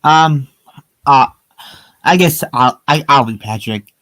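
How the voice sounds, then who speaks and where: Man, informal amateur reader, halting delivery, dry recording. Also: mid-range voice, a bit bright, no echo. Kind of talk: casual amateur read-aloud of story parts.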